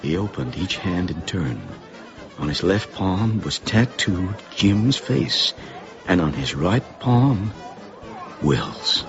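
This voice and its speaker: A middle-aged small-town librarian and worried father in a radio play. His lowish voice moves expressively, earnest and hesitant. The sound is dull, with faint music. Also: very animated, slow, heavily acted. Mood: tense. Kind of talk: radio drama acting and first-person narration.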